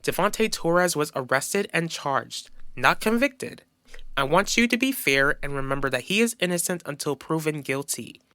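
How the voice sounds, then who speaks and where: A man voicing a stern, formal professional woman who speaks with authority in an animated soap opera. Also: fairly high pitch, normal speed, clear, resonant tone, no room sound, clean studio sound. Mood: firm. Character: stern, measured professional woman enforcing policy.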